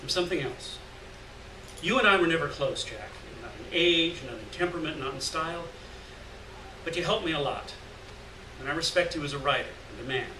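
A man's voice: a little high, expressive, brisk, long pauses, close to an everyday voice. A man voicing a serious, guarded poet in a live story reading, speaking in a terse, restrained, plain way. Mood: earnest. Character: serious, guarded, plain-spoken male poet living alone. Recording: roomy, distant mic, faint noise.

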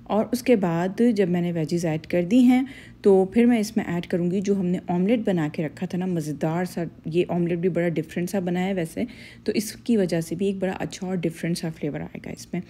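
Mellow-voiced woman narrating a cooking tutorial. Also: lowish voice. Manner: chatty, friendly, addresses viewers directly with playful asides. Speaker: cooking channel host.

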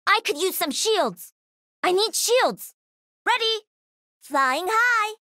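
A woman's voice: extremely high pitch, expressive, takes it slowly, sharp tone, dry recording, clean studio sound, exaggerated voice. A woman voicing a cocky, playful young gamer who pilots a mech in a video game, with punchy, teasing callouts. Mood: confident, upbeat. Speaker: voice actor.

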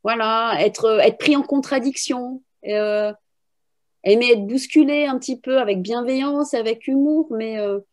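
A middle-aged woman with a fairly high, soft-edged, mellow voice. She explains like a teacher in an interview, thinking aloud and restarting as she goes. She speaks over a video call from a room at home. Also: measured pace. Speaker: philosophy teacher and trainer, interviewee. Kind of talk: spontaneous explanatory interview talk. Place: room at home with bookshelves, indoors. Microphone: video call, computer microphone.

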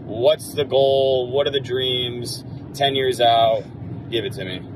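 A man sending a hyped video question from inside a car, firing off quick questions, filmed on a phone at arm's length. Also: mid-range voice, fairly even intonation, background noise. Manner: hyped, rapid-fire questions. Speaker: call-in guest. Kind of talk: recorded video message.

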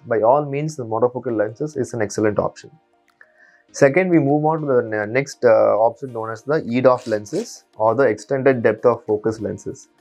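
A man in his thirties, an eye surgeon, calmly and methodically explaining to patients from a small clinic office on a lapel mic. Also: mid-range voice. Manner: calm, methodical, steady explainer. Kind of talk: explanatory patient education talk.

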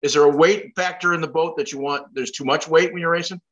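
A man's voice: fairly high pitch, steady tone, quick pace, a bit bright, dull audio. An older man hosting a casual interview over a video call, asking rambling questions and making self-deprecating jokes. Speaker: host.

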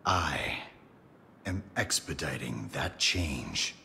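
An actor playing a cold, grandiose villain mastermind in a cinematic video game, pompous and deliberate. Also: male voice, rumbling low, animated, sharp tone, exaggerated voice. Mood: coldly menacing. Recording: clear background engine noise.

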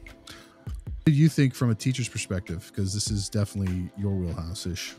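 A man with a fairly low voice speaks in a casual podcast discussion. He is emphatic and halting, restarting his sentences as he goes, over faint music. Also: expressive, warm tone. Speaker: podcast co-host, behavior analyst.

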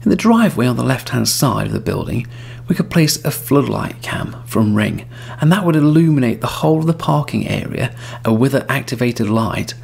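A man's voice: mid-range voice, gravelly, darkish tone, husky. A man hosting a podcast, chatting casually as he walks through a home security camera demonstration and gives advice. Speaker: podcast host. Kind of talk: casual product demo and advice talk. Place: outdoors at home driveway, then indoors.

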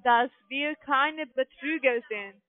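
A woman with a foreign accent in halting German, working as a call centre agent and insistently questioning a customer. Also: sits high, no echo. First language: not German. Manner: halting, non-native phrasing, insistent questioning. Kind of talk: scripted cold-call sales pitch over the phone. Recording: tinny narrowband phone line.

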